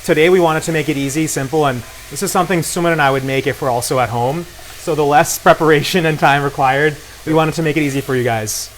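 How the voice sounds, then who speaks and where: A man's voice: on the high side, fast, rough recording. A man talking casually and enthusiastically as a guest at a cooking demonstration, with a promotional way of talking. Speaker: guest cook and beer brand co-founder. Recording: clear noise of frying and sizzling. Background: sizzling pan in a cooking demo.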